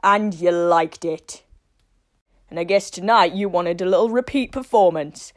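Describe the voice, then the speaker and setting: A woman's voice: lowish voice, a little slow, close to an everyday voice. A woman playing a stern, unimpressed police officer, speaking in a dry, dismissive way in a fan-dubbed drama. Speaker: voice actor in a fan dub.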